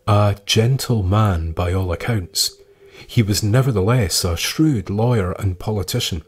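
A man reading scripted historical tales as a podcast narrator, measured and with dramatic pauses. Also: fairly low pitch, husky.

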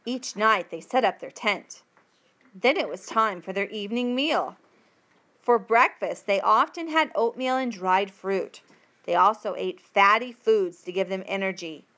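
Slow-paced woman reading aloud. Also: mid-range voice, pushed and bright. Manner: careful, deliberate phrasing. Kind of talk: slow read-aloud of a textbook passage.

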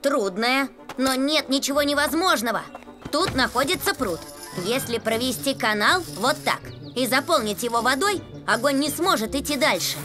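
A brave, decisive young boy with a high voice, speaking as the leader in a kids' cartoon. Music plays behind the lines. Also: lively intonation, normal speed, pushed and bright. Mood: confident, earnest. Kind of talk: children's cartoon dubbing. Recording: background music under the voice.

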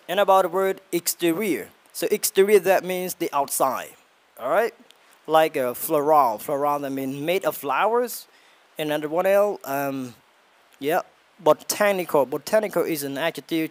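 Young man teaching English. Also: a little high. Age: young adult. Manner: halting, many fillers and self-corrections. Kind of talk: vocabulary lesson vlog. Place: small tiled room indoors. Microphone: handheld mic held at the mouth.

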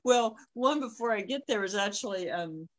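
A middle-aged woman telling stories casually on a video call, often pausing mid-thought. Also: medium pitch, expressive. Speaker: co-host. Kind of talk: casual conversational storytelling. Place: small room at home, on a video call. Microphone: device mic on a video call.

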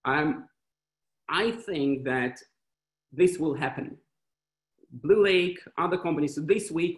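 Chatty man on an echoey video call. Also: mid-range voice. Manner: animated, hand-waving, many fillers and restarts. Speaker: venture fund panelist. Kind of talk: webinar panel answer. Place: small indoor room with wall clock. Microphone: laptop webcam video call.

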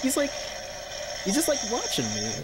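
A man's voice: extremely high pitch, huge pitch swings, moderate pace, very noisy. A man streamer giving casual, joking reaction commentary on a horror video.

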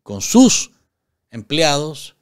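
A man's voice: on the high side, sing-song, very slow. Emphatic Nicaraguan male commentator. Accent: Nicaraguan Spanish. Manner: emphatic, repeats phrases, rhetorical questions. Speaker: political commentator and show host. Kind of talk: live political commentary. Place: small indoor studio at a desk. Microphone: desk studio microphone.

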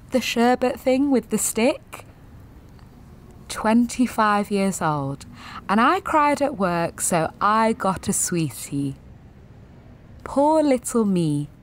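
Slow, wry young woman. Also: on the high side. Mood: rueful. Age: 20s. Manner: wry, sardonic, self-deprecating. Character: jaded, sweary, sensitive young woman gallery attendant. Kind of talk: audio drama monologue, confessional and conversational.

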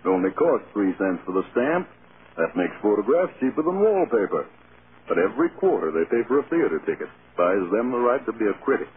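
Candid film producer, crackly radio. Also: male voice, on the low side, sing-song, brisk. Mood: reluctant, frank. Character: tactful male film producer, patient and candid. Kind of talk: vintage American radio drama acting. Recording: scratchy, tinny old radio broadcast.

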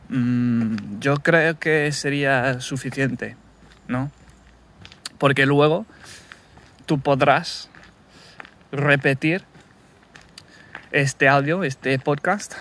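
A man in his thirties from England, his native tongue English, talking to himself in a halting, self-correcting way while walking a wooded path, filming on a phone held at arm's length. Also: mid-range voice, fairly bright tone. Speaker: language learner recording a practice podcast. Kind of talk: casual spoken practice monologue. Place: wooded countryside path outdoors.

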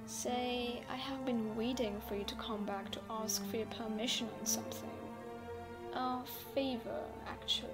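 A woman's voice: fairly high pitch, fairly even intonation, normal speed, lightly acted. A woman acting an easygoing, teasing gamer captive in an audio roleplay, speaking in a playful, nonchalant, conversational way. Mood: hesitant. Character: easygoing, teasing female gamer held captive, unbothered adult. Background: background music.

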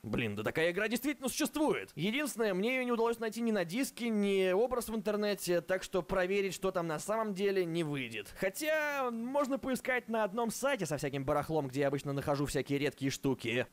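A man's voice: high voice, big pitch swings, brisk, few pauses. A young man giving a scripted comedic review narration in an ironic, slangy way. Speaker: video reviewer.

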